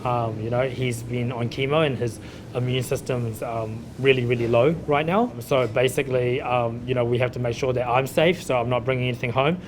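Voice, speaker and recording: A man in his thirties with a New Zealand accent and a mid-pitched voice talks informally, as in an interview. He peppers his conversational account with "you know". There is some rumbling noise around him. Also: fairly even intonation, brisk. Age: 30s. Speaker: chef and restaurant owner being interviewed.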